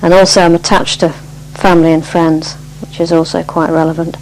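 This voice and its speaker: A woman around her sixties with an English accent, introducing herself in a plain, measured manner. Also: deep voice, flat delivery, a little mellow, low-quality recording. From Cambridge, England. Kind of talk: self-introduction. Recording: faint background noise and hum.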